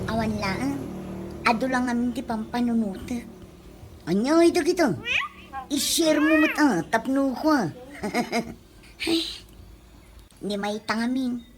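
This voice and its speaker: A woman playing a gossipy, complaining friend in an Ilocano comedy radio drama, with a nagging, dramatic delivery and an Ilocano accent. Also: fairly high pitch. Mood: curious, coaxing.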